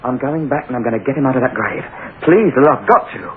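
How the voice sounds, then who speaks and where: An actor playing a desperate young English petty thief racked with guilt, intense and brooding in melodramatic old radio drama style. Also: male voice, mid-range voice, expressive, fast, slight background noise, natural voice. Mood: desperate, determined. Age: young adult. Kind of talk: old-time radio drama acting with confessional narration. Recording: tinny old radio recording.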